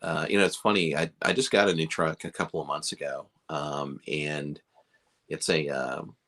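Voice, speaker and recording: A man's voice: very deep voice, steady tone, normal speed, a bit bright. A middle-aged man from Chicago, a beard company owner, chatting casually on a livestream in a rambling, self-deprecating way with lots of fillers.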